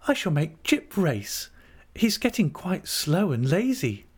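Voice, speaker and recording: A man's voice: fairly high pitch, sing-song, takes it slowly, husky, pitched above the performer's own voice, full character voice. A man voicing a frail, childlike young wife in an audiobook, tender, wistful and plaintive. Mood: wistfully hopeful.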